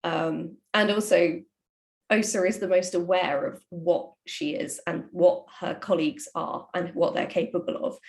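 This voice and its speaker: A woman, a writer, talking casually and explaining her craft with animated emphasis. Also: lowish voice. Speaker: audio drama writer. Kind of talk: casual discussion of writing.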